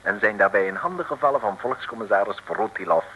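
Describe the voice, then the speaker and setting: A man's voice: fairly low pitch, expressive, quick pace, lo-fi sound. A man narrating an old comic radio serial in a theatrical, mock-dramatic, declamatory way. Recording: faintly noisy old radio recording.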